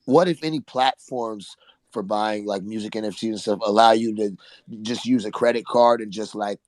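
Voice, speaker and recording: Male chat participant, lowish pitch, inquisitive, emphatic, conversational, dry sound. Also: a little mellow, dry recording. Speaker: participant asking questions. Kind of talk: casual chat.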